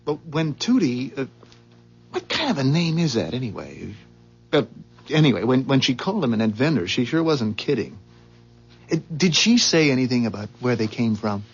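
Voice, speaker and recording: Rattled, suspicious husband for vintage radio drama: husky, halting, emphatic, widely swinging pitch, dull tape sound. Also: male voice, sing-song, soft background music. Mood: tipsy wonder, casual. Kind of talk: 1970s radio drama acting. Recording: dull, muffled 1970s radio recording.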